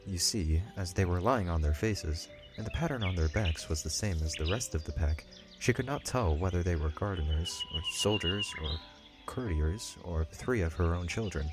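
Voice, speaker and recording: A male narrator tells a scripted story in audiobook style. His voice sits very low, and music plays clearly beneath it. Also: moderate pace.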